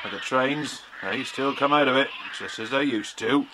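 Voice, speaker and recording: An actor playing an earnest, superstitious shepherd with a rural English accent, ominous in manner, in a children's audio drama. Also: male voice, medium pitch, moderate pace, background noise, close to an everyday voice. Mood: earnest warning. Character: earnest, superstitious country shepherd, warning strangers.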